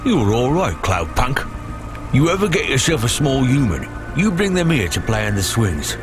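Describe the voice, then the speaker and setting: A man's voice: mid-range voice, big pitch swings, normal speed, loud music behind the voice, close to an everyday voice. An actor playing a brash, good-hearted male street gang member in a video game, cocky and full of slang. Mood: friendly.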